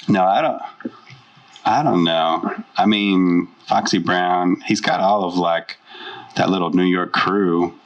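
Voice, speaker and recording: A man chatting casually as a podcast guest, opinionated and animated, often laughing mid-sentence. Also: deep voice, extremely slow, long pauses, distant mic, low-quality recording. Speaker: podcast guest, host's brother. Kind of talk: casual chat.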